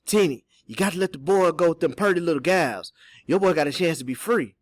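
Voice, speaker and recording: A man's voice: fairly high pitch, animated, normal speed, no echo, voice pushed up, natural voice. A man voicing an old enslaved Southern man in an audiobook, sly and hopeful, giving urgent, folksy counsel. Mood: wry, earnest. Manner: whispering Southern dialect speech, urgent and folksy.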